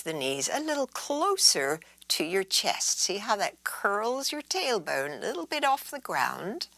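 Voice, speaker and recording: A woman teaching yoga in a soothing, unhurried way, guiding relaxation and gentle stretches and drifting into breathy near-whispers. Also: medium pitch, no room sound.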